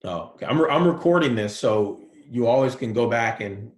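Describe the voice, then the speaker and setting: A male college professor giving a relaxed, soft-spoken lecture online, with many fillers. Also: medium pitch, normal speed, echoey room.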